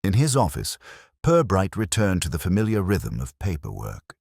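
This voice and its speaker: Very deep-voiced male narrator. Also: huge pitch swings, normal speed, vocal fry, a little mellow, breathy edge, dry recording, clean studio sound. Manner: wry, stately storytelling. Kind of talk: scripted audiobook narration.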